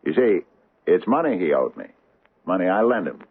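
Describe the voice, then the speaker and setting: An actor playing a grave, guarded older father in a 1970s radio drama, measured and fatherly with slight hesitations. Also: low voice, big pitch swings, breathy edge, heavily acted. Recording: dull lo-fi old radio broadcast.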